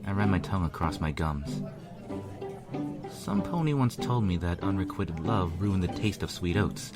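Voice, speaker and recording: Low-voiced man narrating over music. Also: expressive, moderate pace. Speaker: fan fiction reader, narrator. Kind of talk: first-person dramatic story narration. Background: instrumental music.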